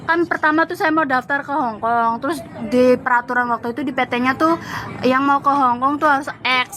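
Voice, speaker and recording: A young Javanese woman recounting her years as a domestic worker abroad in a lively casual chat, laughing often. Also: high voice, fairly quick, slight background noise. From Java, Indonesia. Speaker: former migrant domestic worker.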